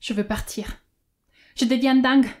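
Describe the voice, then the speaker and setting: Frantic, high-pitched desperate woman, played by an actress. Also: steady tone, measured pace. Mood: frantic, exasperated. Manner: agitated, pleading. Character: frightened, frantic woman desperate to flee town. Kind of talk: French audio drama acting.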